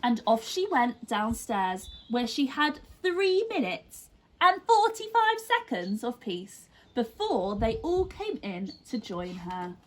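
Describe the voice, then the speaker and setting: Animated, unhurried woman reading a children's story. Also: a little high, a little hiss or hum, low-quality recording. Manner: deliberate emphasis, child-directed. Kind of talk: expressive children's story narration.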